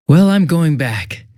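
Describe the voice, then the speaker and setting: An actor playing a smug, two-faced young police detective in a video game, casual and sarcastic with a taunting, cruel edge. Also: male voice, on the high side, mellow, heavily acted. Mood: cold, menacing. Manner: casual, sarcastic, taunting, shifting from breezy to menacing. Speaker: police officer.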